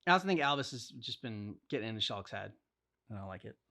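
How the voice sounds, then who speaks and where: A man chatting casually as co-host of a reaction channel, thinking out loud in a rambling way peppered with "like". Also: fairly low pitch, lively intonation, quick pace, vocal fry. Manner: rambling, speculative, heavy use of "like". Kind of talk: casual chat, reacting to game cutscenes.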